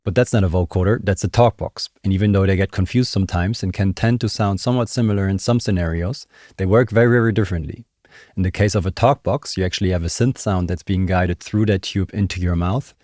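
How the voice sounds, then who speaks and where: Low-voiced man explaining, very dry sound. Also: fast talker, a little mellow, dry recording. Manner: conversational, explanatory, thinking aloud. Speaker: music production tutor. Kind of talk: casual video tutorial. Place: small indoor home studio. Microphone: desk microphone close up.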